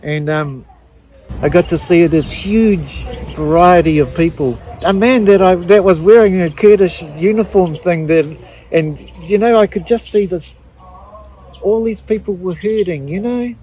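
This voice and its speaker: A middle-aged woman with a New Zealand accent, giving an emotional personal testimony in an earnest, halting way with frequent restarts. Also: on the low side, warm tone, poor audio. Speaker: activist interviewee.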